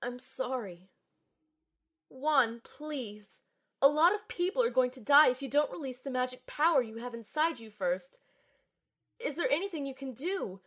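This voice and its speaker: Woman acting young female healer, fairly high voice, earnest, soothing, emotionally involved, audio drama storytelling. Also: normal speed, fairly bright tone. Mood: pleading. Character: earnest young female healer narrating her own ordeal. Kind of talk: amateur audio drama, first-person narration and dialogue.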